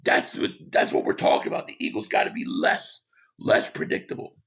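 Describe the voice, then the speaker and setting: A man hosting a sports talk livestream, hoarse and losing his voice, reading chat comments and giving rambling, opinionated takes. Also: fairly quick, fairly bright tone. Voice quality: hoarse, gravelly. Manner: rambling, opinionated, repeats himself.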